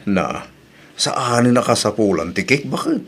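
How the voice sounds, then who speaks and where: A man's voice: medium pitch, sing-song, a little slow, gravelly, husky, full character voice. Man acting thrifty, grumbling Ilocano husband. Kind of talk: audio drama acting.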